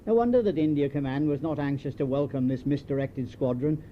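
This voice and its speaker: Older British man, dull noisy tape recording. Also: fairly quick. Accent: British English. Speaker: memoir author reading his own writing. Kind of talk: scripted memoir narration. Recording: dull home tape with clear rumbling noise.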